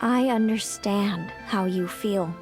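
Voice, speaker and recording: A gentle, composed sister in an anime game. Her mid-pitched voice is measured and reflective, full of quiet sorrow, with soft music underneath. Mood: sorrowful, reflective. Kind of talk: anime-style video game voice acting.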